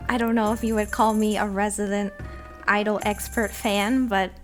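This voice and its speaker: A woman's voice: medium pitch, level tone, normal speed, soft background music. A woman chatting casually as a podcast guest, giggly and often laughing between phrases. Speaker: podcast guest, voice actor and streamer. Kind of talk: casual chat.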